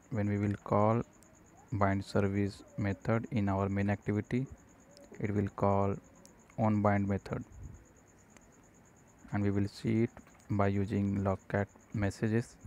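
A man narrating an unscripted screencast tutorial, explaining step by step in a halting way. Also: fairly low pitch, darkish tone. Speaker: programming tutorial presenter. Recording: noticeable background noise.

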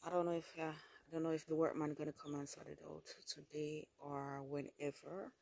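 A woman narrates a casual vlog in an informal, unhurried way over chirping chicks. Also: deep voice, flat delivery, creaky, darkish tone, no echo. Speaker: vlogger. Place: chicken coop at home. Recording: noisy handheld phone audio.

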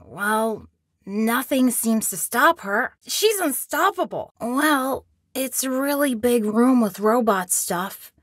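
A woman's voice: on the high side, expressive, slow, fairly bright tone, slightly rough, dry recording, studio quality, full character voice. A woman voicing a young boy in a video game, scared but plucky and resourceful, speaking in an earnest, anxious way. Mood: wary, curious.

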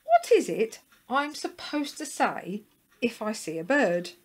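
A woman's voice: on the high side, big pitch swings, slow, voice pushed up, full character voice. A woman voicing a silly, forgetful male dog in a children's story, cheerful, mischievous and exclamatory. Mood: puzzled, polite. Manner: exclamatory, polite, chuckling to himself.

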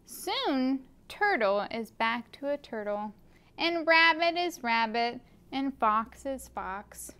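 A young woman with a high, clear voice reads a picture book to small children at an unhurried pace. She hosts a story time and speaks to the children directly, asking them questions. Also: animated, bright, forceful tone. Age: young adult. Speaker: library story time host. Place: carpeted library children's room, indoors. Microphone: camera a few feet away.

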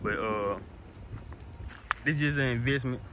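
A man's voice: medium pitch, unhurried. A nineteen-year-old man talks casually to the camera in a rambling vlog, repeating himself and restarting his sentences as he walks around outside. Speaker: car vlogger. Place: outdoors in a driveway beside a car. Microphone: phone held at arm's length. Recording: outdoor phone audio with background noise.

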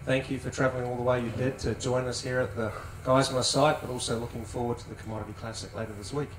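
A man, a company executive, speaking smoothly and in a practiced way on a panel in a large tent. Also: medium pitch, level tone, fast talker. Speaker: senior vice president on a panel. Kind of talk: panel discussion. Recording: roomy sound from some distance, faint noise.

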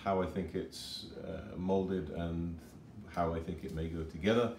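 Low dark-voiced man reviewing a model kit. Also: little pitch movement, a little hiss or hum. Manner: chatty and unhurried, with fillers and self-corrections. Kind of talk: casual unboxing review. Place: home kitchen table.

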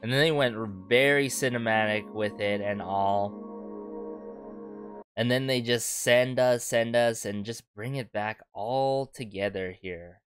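A man's voice: medium pitch, a little slow. A young man in his twenties hosting a casual music video reaction from a home setup, chatty and full of rhetorical asides. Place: bedroom home studio, indoors. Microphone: headset headphones with a desk boom mic. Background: song playing faintly.

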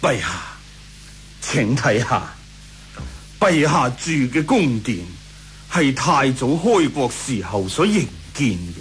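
A man's voice: medium pitch, sing-song, slow, long pauses, a bit bright, faint music behind. A man with a Hong Kong Cantonese accent playing a scheming, deferential imperial councillor in a radio drama, speaking persuasively. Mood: coaxing.

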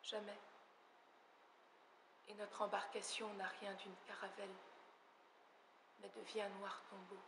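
A woman's voice: medium pitch, level tone, unhurried, creaky, long pauses, background noise. A French woman in her thirties performing dramatic spoken-word poetry, her delivery swelling and sinking, in an empty opera house auditorium. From France. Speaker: slam poet. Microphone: far camera. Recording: roomy hall echo.

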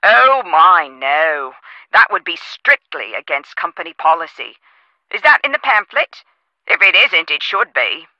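Bright, chatty, prim office manager. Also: female voice, fairly low pitch, big pitch swings, measured pace, dry recording, dull audio, heavily acted. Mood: officious, chirpy. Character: chatty, prim female office manager on the phone, policy-proud. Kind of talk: video game voice acting.

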